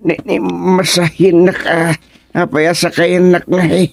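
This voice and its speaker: A man with an Ilocano accent playing an achy, complaining man in a radio comedy drama, gravelly, grunting and hesitant. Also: sits high, level tone, slow, no room sound, exaggerated voice. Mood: grumbling, sore.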